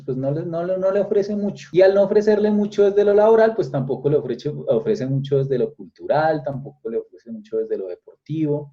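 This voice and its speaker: A young Colombian man with a Colombian accent giving thoughtful, reflective answers in an interview, often restarting phrases and rising in pitch when he stresses a point. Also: mellow. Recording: dull lo-fi recording.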